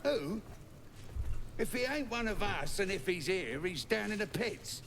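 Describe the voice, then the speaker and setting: A man voicing a rough, cocky goblin sentry in a fantasy game, with coarse slangy speech and a gravelly voice. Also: high-pitched, animated, a little slow, a bit bright, exaggerated voice. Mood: cocky, scolding. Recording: noisy game ambience behind.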